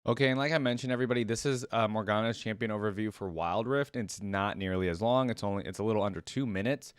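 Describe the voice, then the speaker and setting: Low-voiced man reacting casually, roomy echo. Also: fast talker, clean tone, clean studio sound. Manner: informal, rambling, thinking aloud. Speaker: host of a game reaction channel. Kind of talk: casual reaction commentary with asides to viewers. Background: game trailer music.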